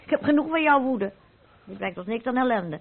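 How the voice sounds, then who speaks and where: An actress playing the worried, scolding wife of a penniless painter in a vintage radio play, husky and plaintive. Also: female voice, fairly high pitch, lively intonation, fairly quick, heavily acted. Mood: reproachful. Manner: reproachful, plaintive, nagging. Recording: muffled narrow-band old radio recording.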